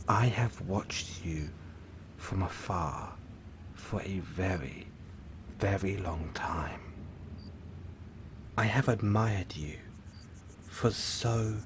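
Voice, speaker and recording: A man playing a lonely, lovesick supervillain in an audio roleplay, theatrical and halting, often dropping into breathy near-whispers. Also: low voice, a little hiss or hum, exaggerated voice. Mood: suave, ominous. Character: lovesick, lonely supervillain, suave musical phantom, obsessive and theatrical. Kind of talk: intimate audio roleplay acting.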